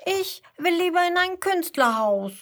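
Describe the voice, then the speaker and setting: A woman voicing a stubborn, eager little koala boy in a children's story, speaking in an emphatic, excitable, childlike way. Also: very high-pitched, unhurried, voice pushed up, heavily acted. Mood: stubborn, defiant.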